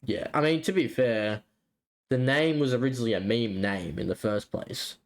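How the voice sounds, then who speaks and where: A man's voice: medium pitch, moderate pace. A man co-hosting a podcast in casual chat, explaining things in a hesitant, rambling way. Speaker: podcast co-host.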